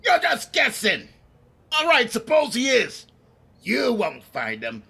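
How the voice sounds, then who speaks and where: A man playing a frantic, defiant condemned convict in 1930s radio drama style, crying out in drawn-out denials. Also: very high voice, wildly swooping pitch, vocal fry, sharp tone, slightly rough, exaggerated voice.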